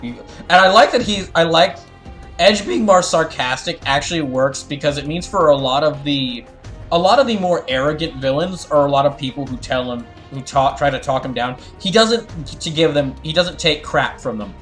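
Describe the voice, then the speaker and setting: Higher-voiced man streaming over music. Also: lively intonation, moderate pace, fairly bright tone. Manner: rambling, explanatory, often restarts sentences. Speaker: streamer and host of a live fandub. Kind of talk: casual livestream commentary, chatting with viewers and reading game dialogue.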